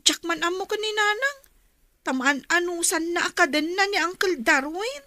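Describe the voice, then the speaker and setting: A woman's voice: very high-pitched, moderate pace, pushed and bright, no room sound. A woman acting in an Ilocano radio drama as a concerned young daughter from a troubled home. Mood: plaintive, resigned.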